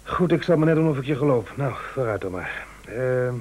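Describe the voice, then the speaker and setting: An actor playing a sceptical, good-natured old friend in a Dutch radio play, hesitant and muttering. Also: male voice, medium pitch, lively intonation, brisk, a little mellow, slight background noise, natural voice. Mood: resigned.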